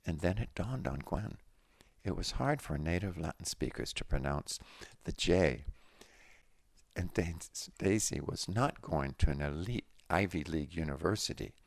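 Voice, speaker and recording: A man's voice: deep voice, expressive, a little slow, creaky, no echo. A man reading a story aloud as scripted narration. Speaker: audiobook-style reader of a story.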